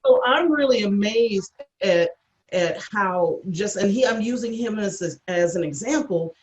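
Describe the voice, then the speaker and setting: Mid-pitched woman on an echoey video call. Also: lively intonation, measured pace, muffled recording, slight background noise. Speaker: audio editor and cast crew member. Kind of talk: casual video call chat. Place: indoor room on a video call.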